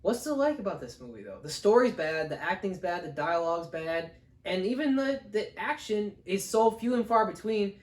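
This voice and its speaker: A man chatting casually as co-host of a film review, giving blunt opinions in a halting, repetitive way. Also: sits high, big pitch swings. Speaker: co-host of a movie review show. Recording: echoey room.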